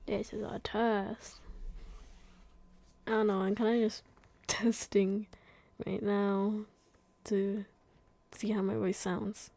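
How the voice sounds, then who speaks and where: Gravelly young woman streamer, rambling. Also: mid-range voice, little pitch movement, slow, pauses often, warm tone, no echo. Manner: rambling self-talk, trailing off mid-thought. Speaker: game streamer. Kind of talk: casual live-stream chat.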